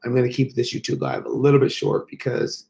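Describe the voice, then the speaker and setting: A man's voice: mid-range voice, level tone, fairly quick, vocal fry, a little mellow. A man who has lost his voice, talking casually on a live stream about exercise as a fitness coach, rambling with many fillers. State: hoarse, losing his voice.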